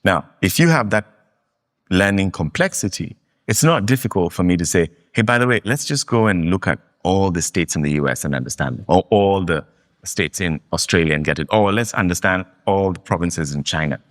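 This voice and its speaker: A man from Kenya, a guest in a fireside interview, telling stories in an animated, emphatic way. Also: low voice, brisk. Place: live meetup venue.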